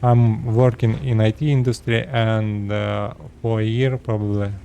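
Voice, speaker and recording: A 36-year-old man from Russia with a Russian accent, speaking halting second-language English as he talks casually to his viewers. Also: lowish voice, little pitch movement, warm tone, lo-fi sound, slight background noise. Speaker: vlogger. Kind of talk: casual personal talk to camera.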